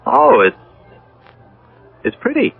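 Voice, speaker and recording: An actor playing an earnest, career-minded young husband, coaxing and exasperated, in a radio drama. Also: male voice, very high voice, huge pitch swings, slow pace, vocal fry, husky, heavily acted. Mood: awkward, placating. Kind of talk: 1980 radio drama acting. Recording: dull old radio broadcast, faint music.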